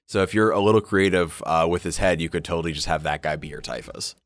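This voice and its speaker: Low-voiced man, slangy and informal. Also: fast talker, dry recording. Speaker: podcast co-host. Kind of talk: casual chat about tabletop wargaming.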